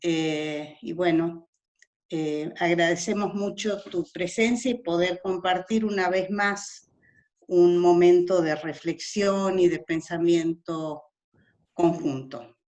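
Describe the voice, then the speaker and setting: Woman host, slow hesitant intro full of fillers, slightly low voice, video-call audio. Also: steady tone, a little mellow. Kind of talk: online talk introduction.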